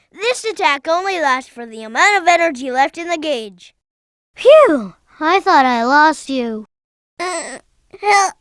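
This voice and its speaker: Young boy fox sidekick, very high childlike pitch, lively intonation, eager, earnest, clearly enunciated, video game hint delivery. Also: no echo, studio quality, full character voice. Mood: alarmed. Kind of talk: scripted video game tutorial voice acting.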